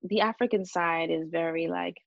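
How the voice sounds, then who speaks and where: A woman from Japan and Australia, a native Japanese speaker, talking earnestly and reflectively as an interview guest, in a calm, hesitant way. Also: lowish voice, normal speed, vocal fry, no room sound, dull audio.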